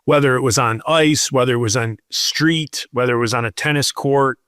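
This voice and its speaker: A man's voice: mid-range voice, moderate pace, a bit bright, smooth, dry recording, clean studio sound. A man in his thirties, a podcast co-host, telling a rambling story in casual chat with lots of fillers.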